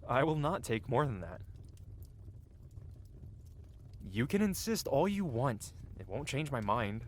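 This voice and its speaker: A man's voice: medium pitch, big pitch swings, moderate pace, a little hiss or hum, natural voice. A man playing a cocky, flirty yet tender-hearted medieval blacksmith in an intimate, theatrical ASMR fantasy roleplay. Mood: firm, playful. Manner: teasing, theatrical, with soft hesitations. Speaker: audio roleplay performer. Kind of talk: ASMR roleplay acting, intimate one-sided dialogue.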